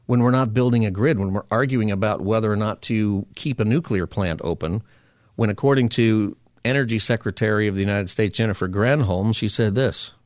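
A man with a lowish voice hosts a faith-based radio talk show, urging listeners on with earnest, preacher-like encouragement. The sound is dry and close, straight into a studio mic. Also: fairly quick, no room sound. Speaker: radio host. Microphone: studio microphone.

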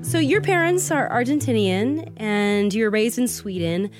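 A woman's voice: fairly high pitch, lively intonation, moderate pace, faint music behind. Curious female interviewer. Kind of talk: casual interview.

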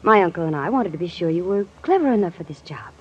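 A woman's voice: on the low side, animated, fast talker, natural voice. A woman playing a coy, flirtatious and cunning society lady in 1940s radio drama. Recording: dull, hissy vintage radio recording.

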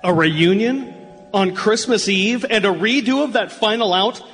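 A man's voice: high-pitched, unhurried, a bit bright, soft background music. A man acting in a live radio play as an opinionated older memorabilia dealer, emphatic and salesman-like. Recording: live stage, long reverb, clear noise.

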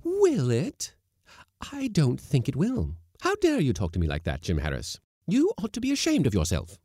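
A man voicing an imposing, defiant woman ship's cook in a storybook, loud, bossy and scornful. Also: on the high side, huge pitch swings, normal speed, breathy edge, dry recording, clean studio sound, pitched above the performer's own voice, heavily acted. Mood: loud, indignant. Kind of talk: storybook character voice.

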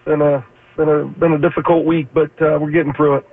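A man's voice: fairly high pitch, flat delivery, quick pace. A man with a slightly scratchy voice from allergies, explaining basement repairs hesitantly as a guest expert. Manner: hesitant, many fillers. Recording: tinny phone line.

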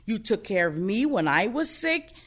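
A woman playing a blunt, sardonic, protective older woman in an audio play, outspoken and expressive. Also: mid-range voice, moderate pace, breathy edge. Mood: insistent, supportive.

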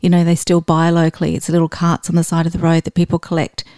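A woman's voice: on the low side, completely flat delivery, fast, dark tone, no echo, clean studio sound. A woman hosting a podcast interview, chatting casually and encouraging her guest with frequent affirmations. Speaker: podcast host.

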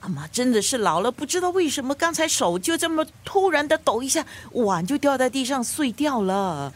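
A woman playing a gruff but loving grandmother of nearly seventy in a radio drama, with a scolding, grumbling manner. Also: high voice, sing-song, brisk. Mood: rueful. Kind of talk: Chinese radio drama acting.

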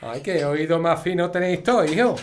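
A woman's voice: lowish voice, fairly quick, a crowd in the background, close to an everyday voice. Actress as a blunt, gossipy Andalusian grandmother. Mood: teasing, blunt. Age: older. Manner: colloquial, grumbling, blunt. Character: sharp-tongued, gossipy, foul-mouthed Andalusian grandmother. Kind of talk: audio drama dialogue.

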